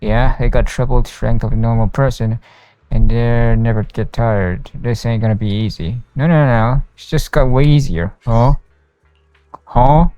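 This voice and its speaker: A man's voice: mid-range voice, dark tone, smooth. A man livestreaming a game, reading its dialogue and narration aloud with occasional stumbles. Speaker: game streamer. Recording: roomy, with faint game music behind.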